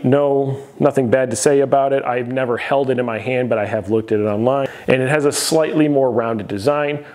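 A man's voice: mid-range voice, darkish tone. An American man in his thirties hosting a casual product review, explaining things conversationally to camera. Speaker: host reviewing gym equipment. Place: garage gym, indoors.

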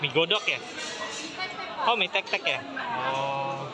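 A man's voice: medium pitch, takes it slowly, loud music behind the voice, over a noisy crowd. A man casually chatting and asking questions while tasting food in a hotel restaurant, filmed up close. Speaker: food vlogger. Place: busy hotel restaurant, indoors.

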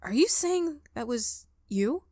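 A woman's voice: on the high side, expressive, takes it slowly, darkish tone, no echo, clean studio sound. A woman playing a skeptical, rattled college student in a visual novel, blunt and wary.

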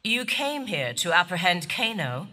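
A woman's voice: on the low side, sing-song, measured pace. An actress playing a proud female warrior guardian who speaks in a cool, regal, warning tone. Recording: game audio with music behind.

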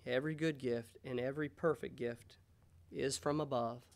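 An American man in his thirties preaching a sermon as a pastor in a measured, pausing way. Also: medium pitch, fairly even intonation, vocal fry. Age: 30s. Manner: measured, pausing, rhetorical questions. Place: church sanctuary, indoors. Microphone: gooseneck pulpit microphone.

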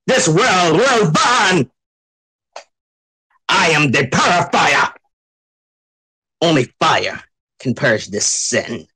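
Menacing fantasy fire villain, husky, extremely slow, dry recording. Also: male voice, a little high, lively intonation, bright, forceful tone, no room sound, full character voice, low-quality recording. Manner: ominous, drawn-out. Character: menacing, booming fiery purifier. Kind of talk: character voice acting audition.

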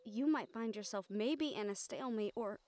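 A woman plays a young female mage in an intimate audio roleplay. Her voice sits a little high. She comes across as excitable and caring, speaking in an animated, halting way and swearing freely. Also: close to an everyday voice. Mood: gentle, resolute.

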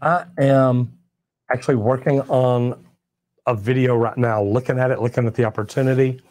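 A man's voice: medium pitch, steady tone, moderate pace, darkish tone, no room sound. An older man with a Texan accent, a master plumber hosting a casual livestream and answering chat questions in a folksy, plainspoken way. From Texas. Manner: folksy, plainspoken, drawling storyteller. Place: studio set with shelves of tools and merch. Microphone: clip-on lapel mic.

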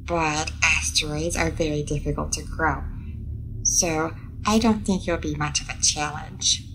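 A woman's voice: sits low, steady tone, unhurried, fairly bright tone, a little hiss or hum, low-quality recording. A woman playing a friendly, curious alien starship captain in an audio roleplay, soothing and a little hesitant as she addresses the listener. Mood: gentle, playful.

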